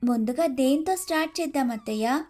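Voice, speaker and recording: A woman's voice: sits high, fairly quick, darkish tone, soft background music, close to an everyday voice. A woman playing an earnest, respectful young daughter-in-law in a moral story. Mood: eager. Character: eager, grateful, trusting young daughter-in-law. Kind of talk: scripted storybook acting.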